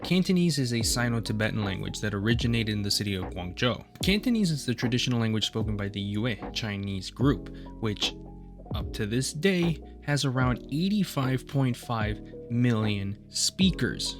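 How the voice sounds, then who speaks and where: A man's voice: mid-range voice, lively intonation, normal speed. A young man narrating a class project presentation in a casual way, with self-deprecating asides. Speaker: student presenter. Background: faint guitar music.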